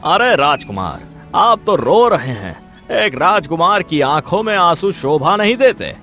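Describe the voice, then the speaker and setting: A man's voice: on the high side, big pitch swings, a little slow, sharp tone, soft background music, full character voice. A man voicing a concerned, respectful royal minister in a cartoon moral story.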